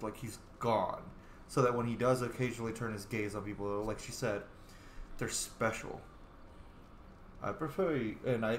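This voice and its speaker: Male gaming host, casual role-play commentary, jokey, animated, roomy echo, faint background noise. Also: mid-range voice. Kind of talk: casual role-play game commentary, reading dialogue choices aloud.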